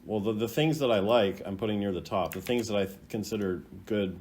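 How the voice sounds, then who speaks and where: A man's voice: fairly low pitch, fairly quick, darkish tone, slight background noise. A man hosting a video in casual unscripted commentary, rambling and hesitant in a laid-back way. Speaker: host ranking game voice lines.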